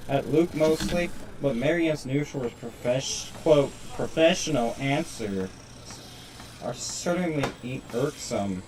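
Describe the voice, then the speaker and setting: A man's voice: mid-range voice, animated, takes it slowly, recorded from a distance, noisy background. A man reading a visual novel's narration aloud on a stream, in a dramatic storytelling style.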